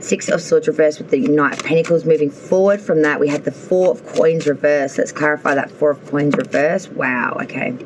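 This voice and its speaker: A woman's voice: low-pitched, moderate pace, hardly any pauses, a little hiss or hum, rough recording. A woman doing a casual tarot reading, chatty and thinking aloud as she draws cards, with an offhand swear now and then. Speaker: tarot reader.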